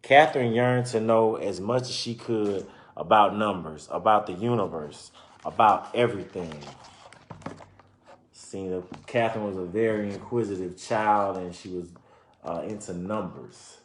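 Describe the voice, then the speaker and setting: A man reading a children's book aloud and pausing to explain and comment informally to his listeners. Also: fairly low pitch, a little hiss or hum. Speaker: reader presenting a children's book. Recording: roomy, echoing sound.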